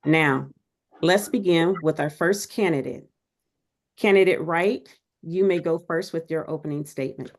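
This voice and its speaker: Low, gravelly-voiced woman moderator. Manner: measured, formal, scripted. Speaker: forum moderator. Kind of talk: reading forum rules and introductions.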